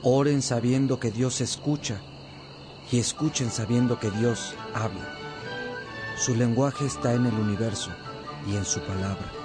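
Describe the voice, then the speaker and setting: An actor playing a loving spiritual teacher who earnestly exhorts in a radio drama. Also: male voice, medium pitch, a little slow, lightly acted. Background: music.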